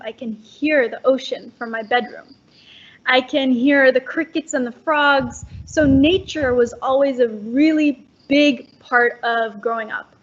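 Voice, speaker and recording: Rich: A teenage girl from Indonesia with a high, breathy voice answers eagerly, dropping in little ums as she goes. She speaks from home late at night, and the call audio is dull and lo-fi. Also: a bit bright. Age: teens. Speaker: guest. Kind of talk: casual interview answers.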